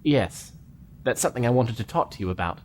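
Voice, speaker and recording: A polite starship lieutenant with a lively, animated voice, played by a man. He speaks earnestly and measuredly in a fan audio drama, with faint noise underneath. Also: mid-range voice, brisk.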